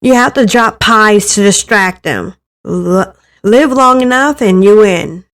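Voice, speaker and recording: A woman's voice: medium pitch, slow, natural voice. A woman voicing an upbeat male gaming YouTuber who talks casually to his fans in a story reading. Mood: casual, joking.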